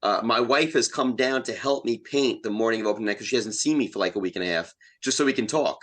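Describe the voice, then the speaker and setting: Low-voiced man, casual and rambling. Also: fast talker, fairly bright tone. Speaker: interview guest, playwright. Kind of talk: casual chat.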